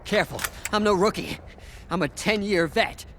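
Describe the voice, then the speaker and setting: An actor playing a jittery, blustering soldier with a strained, nervy delivery. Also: male voice, high voice, normal speed, fairly bright tone, slight background noise. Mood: nervous bluster.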